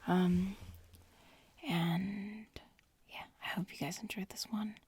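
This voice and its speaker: A young woman murmurs in a very breathy, mostly whispered voice. She chats gently and slowly in casual ASMR style, pausing and hesitating as she goes, with a dry, intimate closeness to the sound. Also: medium pitch, steady tone, no echo. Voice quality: breathy, soft whisper with some fry. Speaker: ASMR video creator.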